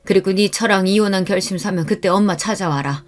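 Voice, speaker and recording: A woman's voice: mid-range voice, brisk, natural voice. A woman playing a stern older mother-in-law, solemn and scolding, in a radio-style story.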